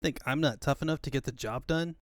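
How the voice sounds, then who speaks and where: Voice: mid-range voice, fairly quick, no echo, studio quality, natural voice. A performer voicing a cocky, flippant young hedgehog hero in a cartoon comic dub. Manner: brash, flippant. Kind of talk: comic fandub acting.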